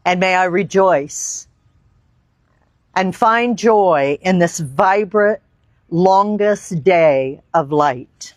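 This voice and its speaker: A woman teaching yoga, leading a guided meditation in deliberate, reverent phrases with long pauses. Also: lowish voice, no room sound, clean studio sound. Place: outdoor park.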